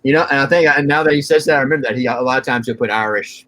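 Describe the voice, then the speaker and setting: A man's voice: medium pitch, very fast, fairly bright tone. A man co-hosting a casual live chat, bantering and teasing in short conversational remarks. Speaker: co-host of a live chat show.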